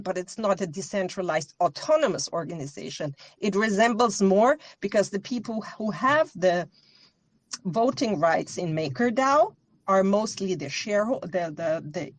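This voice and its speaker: A woman's voice: medium pitch, dry recording. A woman from Austria, a guest answering interview questions in earnest, lecturing detail, often correcting herself mid-sentence. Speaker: guest expert. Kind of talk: interview answers, explaining at length.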